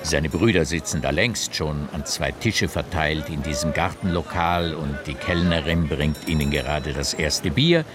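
An actor playing a storyteller narrating biblical tales in a scripted radio play. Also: male voice, low-pitched. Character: animated male storyteller retelling biblical tales.

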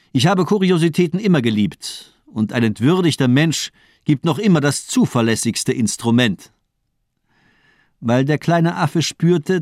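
An actor as a cold, menacing male doctor in a radio crime play. He speaks at a middle pitch in polished, mocking monologues, elegant and deliberate. The sound is very dry and close. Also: a little mellow, dry recording, lightly acted. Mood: coldly amused. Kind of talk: German radio crime drama acting.

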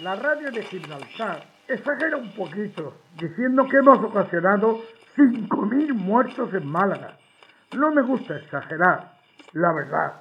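Gloating high-pitched fascist radio general. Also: male voice. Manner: boastful, sneering, mock-sincere. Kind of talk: 1930s wartime radio address acting. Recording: dull, band-limited old radio sound.

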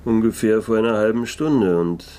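An actor playing a laconic, disillusioned private detective who narrates and speaks in a German radio play. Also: male voice, fairly low pitch, normal speed, mellow, close to an everyday voice. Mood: defiant, sardonic. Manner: laconic, dry, world-weary. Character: wry, disillusioned male private detective narrating his case.

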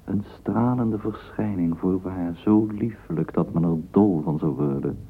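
A man's voice: low voice, unhurried, husky. A man narrating a radio drama as a storyteller, reading flowery literary prose. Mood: florid, admiring. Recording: tinny, hissy old radio recording.

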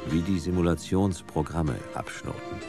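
An actor playing a laconic, wry hard-boiled private detective in a German sci-fi radio crime drama, speaking in dry, clipped sentences. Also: male voice, deep voice, normal speed, over music, close to an everyday voice. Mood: dry, wry narration.

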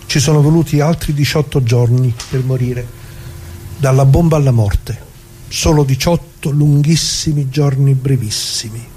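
An actor playing a dead retired working-class man, bitter and plain-spoken, addressing listeners in a halting, repetitive theatrical radio monologue. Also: mid-range voice, dark tone, a little hiss or hum, natural voice. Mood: solemn, resolute. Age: older.

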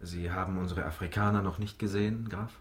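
A man's voice: low voice, steady tone, fairly quick, lightly acted. A man acting in a radio play as a courteous army captain, inquisitive and a little sceptical. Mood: respectful interest. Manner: polite, inquisitive, military gentleman.

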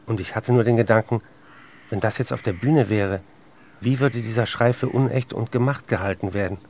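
A man playing a tormented, intense writer who confesses and narrates in measured, intimate speech in a radio play. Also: lowish voice, a little mellow, slight background noise, natural voice. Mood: haunted recollection.

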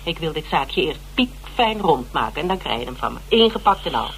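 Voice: mid-range voice, sing-song, a little fast, fairly bright tone. An actor in a Dutch radio drama playing a determined, persuasive investigator who repeats words insistently. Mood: insistent, pleading. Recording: noisy old radio drama recording with hum.

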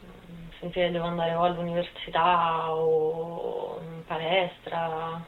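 Phone-like, hesitant low-voiced young woman. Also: completely flat delivery, a little slow. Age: 20s. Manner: hesitant, thinking aloud. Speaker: interviewee. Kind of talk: informal recorded interview answers. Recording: thin phone-like sound.

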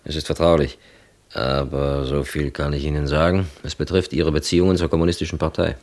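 An actor playing a formal, evasive middle-aged police chief in a radio drama, measured and officious. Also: male voice, a bass voice, flat delivery, darkish tone. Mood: officious, firm. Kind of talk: German crime radio drama acting.

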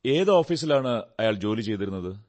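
A man acting in a radio drama as a shrewd, methodical detective who asks questions in a measured, deliberate way. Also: fairly low pitch, animated, a little mellow, lightly acted. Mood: probing, composed. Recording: radio drama with background music. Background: music.

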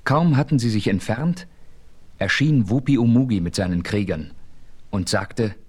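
A man playing a frontiersman hero who narrates his adventure in a measured, authoritative way in a German radio play. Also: fairly low pitch, darkish tone, husky. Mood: narrating.

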